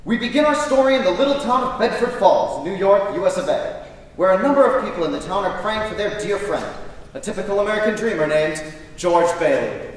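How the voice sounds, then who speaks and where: A man's voice: high-pitched, animated, brisk. A man playing a grand, jovial 1940s radio show host, announcing theatrically on a stage. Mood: grand, showy. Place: large school theatre stage. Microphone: distant camera. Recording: echoey auditorium from far off, audience noise.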